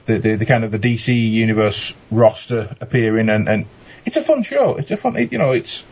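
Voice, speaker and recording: A man's voice: lowish voice, animated, fairly quick, a little mellow, breathy edge, dull audio. A man on a casual podcast panel who stammers and repeats his words as he talks. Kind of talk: casual discussion.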